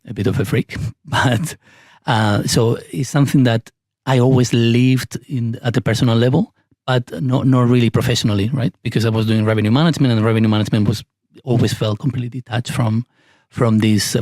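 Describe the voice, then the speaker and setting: A man, a company executive and podcast guest, speaking haltingly with frequent restarts. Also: medium pitch, steady tone, darkish tone, no room sound, studio quality. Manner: halting, many restarts and fillers. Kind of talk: casual interview chat.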